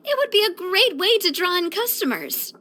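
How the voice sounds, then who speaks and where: A woman voicing a bright, earnest, sociable young female leader in a video game, chatty and expressive. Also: very high voice, exaggerated voice. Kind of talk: video game character voice lines.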